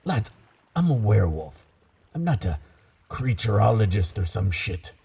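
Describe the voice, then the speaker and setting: Gruff werewolf pirate captain for audio roleplay, played by a man: very low, slow and musing, salty stage pirate accent, gravel in the throat. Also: big pitch swings, warm tone, exaggerated voice. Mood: baffled, wary.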